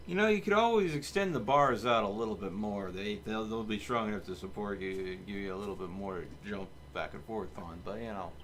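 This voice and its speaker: A man's voice: lowish voice, brisk, few pauses, fairly bright tone, slight background noise. A man hosting a livestream, chatting casually and giving instructions to his teammates as he plays a game. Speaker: livestream host and player. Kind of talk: casual gaming stream chat, giving team instructions.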